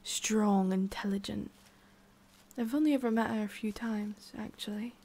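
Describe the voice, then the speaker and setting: A woman plays a centuries-old witch of the forest, talking straight to the listener in an ASMR roleplay. Her voice sits at an ordinary female pitch with plenty of fry. She is prickly and haughty on top, softer underneath, and muses haltingly as she goes. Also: fairly even intonation, lightly acted. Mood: fond, musing.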